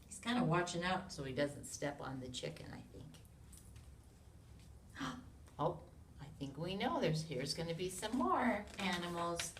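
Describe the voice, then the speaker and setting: An American woman reads a picture book aloud to young children in an expressive way, with sound effects, questions and hushed asides to her listeners. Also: medium pitch. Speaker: children's read-along reader. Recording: roomy, distant mic with noise.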